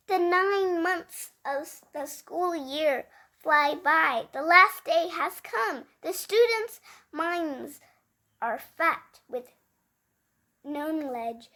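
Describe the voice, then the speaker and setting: A female storyteller reading a children's book aloud, expressive and emphatic, word by word with an occasional stumble. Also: high voice.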